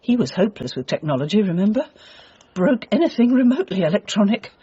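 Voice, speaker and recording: Older widowed mum, down to earth but anxious, voice goes up and down loads, slightly muffled. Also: female voice, mid-range voice, big pitch swings, fast, mellow, husky. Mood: practical, dismissive. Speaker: actor in radio drama. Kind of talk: naturalistic radio drama dialogue. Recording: muffled, faint background noise.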